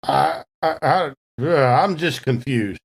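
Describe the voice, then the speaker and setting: A man's voice: medium pitch, slow pace, vocal fry, husky. A man role-playing in an improvised tabletop game, flustered and stammering through repeated words. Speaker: player in a tabletop game podcast. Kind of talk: improvised in-character role-play.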